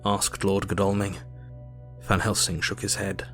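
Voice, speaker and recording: A Victorian doctor reading his diary in an audio drama. The voice is fairly low and stays quite even, with formal, measured, literary phrasing. Soft music runs underneath. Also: creaky. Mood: grave, reflective. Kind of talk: scripted audio drama narration. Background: music bed.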